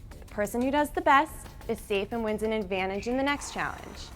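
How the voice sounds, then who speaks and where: A woman's voice: fairly high pitch, brisk, fairly bright tone, faint music behind. A woman hosting a TV fitness competition, reading scripted lines in an upbeat, announcing way that builds suspense. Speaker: competition show host.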